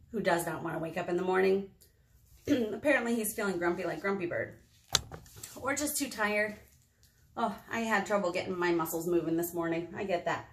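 An American woman in her thirties hosting a children's storytime, expressive and talking directly to small children in a room. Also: mid-range voice. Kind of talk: children's storytime read-aloud with singing. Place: small indoor meeting room. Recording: roomy indoor echo.

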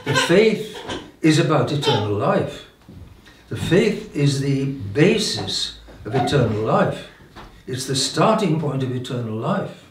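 Elderly male cleric, mid-pitched, halting sermon delivery with repeated phrases, roomy sound from a distant mic. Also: sing-song, a little hiss or hum. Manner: halting, repeats and restarts phrases, emphatic pauses. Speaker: bishop preaching. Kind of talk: informal sermon. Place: indoor room with religious paintings. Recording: roomy echo, distant microphone.

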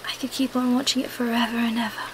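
A woman's voice: a little high, flat delivery, fast, fairly bright tone, slight background noise, natural voice, poor audio. A woman playing a cunning female vampire who feigns weakness, in an ASMR roleplay. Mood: soothing, wistful.

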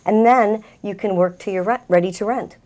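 A woman's voice: mid-range voice, normal speed, a little mellow. A middle-aged woman, a salon owner, talking conversationally as a guest in a talk-show interview. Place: small TV talk-show set indoors.

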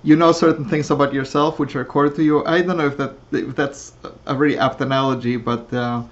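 A woman who grew up speaking Russian, hosting an interview over a video call in a measured, reflective way. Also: very deep voice, steady tone. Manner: measured, reflective, thinks in analogies. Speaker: host. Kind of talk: interview conversation. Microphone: webcam on a video call. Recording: dull lo-fi video call audio.

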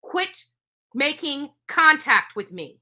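A woman's voice: sits high, very animated, slow, pushed and bright. A middle-aged woman, upset, giving an emphatic, repetitive and commanding address as host of a livestream. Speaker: live show host. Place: small indoor room with curtains.

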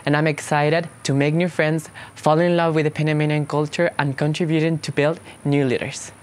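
A man from Ecuador introducing himself as project director in a steady, even delivery. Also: fairly high pitch, brisk. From Quito, Ecuador.

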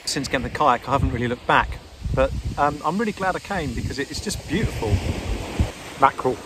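A man's voice: mid-range voice, fairly quick. A man, talking casually and instructively as a fishing vlogger. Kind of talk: casual instructional vlog talk. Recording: clear background ambient noise.

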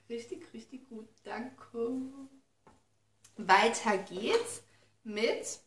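Young woman, excitable chat, gravelly fry, distant camera mic, echoey living room. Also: medium pitch. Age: young adult. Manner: chatty, excitable, reads notes aloud. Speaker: beauty vlogger. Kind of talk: casual unboxing chat. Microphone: camera mic from a few feet away. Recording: roomy, distant camera sound with faint noise.

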